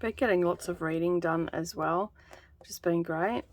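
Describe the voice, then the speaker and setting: A woman chatting in a relaxed, rambling way while she stitches for her craft vlog. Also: on the low side, normal speed. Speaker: craft vlogger. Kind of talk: casual chat while stitching.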